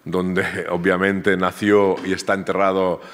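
A man's voice: deep voice, little pitch movement, unhurried, fairly bright tone. A man with a Catalan accent giving an academic seminar talk in a university room, often restarting and repeating his words. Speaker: academic speaker. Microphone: table microphone, far camera.

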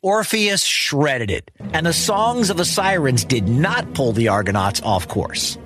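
A man's voice: a little high, big pitch swings, unhurried, a bit bright. A man telling a scripted children's story as host, in a wry, conversational manner with dramatic emphasis. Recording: music behind the voice. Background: music.

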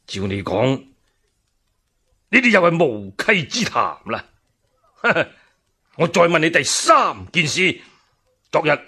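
A man's voice: fairly high pitch, sharp tone, breathy edge, full character voice. A man voicing an indignant, righteous old loyal minister in a radio storytelling drama, declaiming and stammering with outrage.

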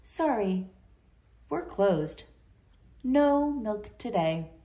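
A woman voicing polite but stubborn farm cows in a children's storybook, with measured, formal phrasing. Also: medium pitch, animated, dark tone. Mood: firm. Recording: roomy indoor echo.

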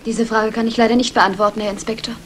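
Woman playing a guarded, high-voiced young lady. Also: little pitch movement, fast talker, a bit bright, lightly acted. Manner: polite, guarded. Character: reserved, polite young student daughter of murdered woman. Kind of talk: 1960s German radio play acting. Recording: noisy old radio play recording.

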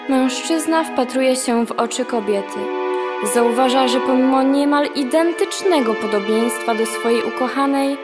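A woman's voice: sits high, normal speed, natural voice. A young woman narrating a radio play as the storyteller of a sailor's sea voyage. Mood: solemn storytelling. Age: young adult. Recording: long hall reverb under music.

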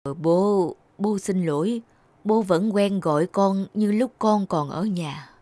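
An actor playing a devoted, gentle old father in a cartoon, speaking tenderly and hesitantly. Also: mid-range voice, takes it slowly, dry recording, lightly acted. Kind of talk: Vietnamese cartoon dubbing.

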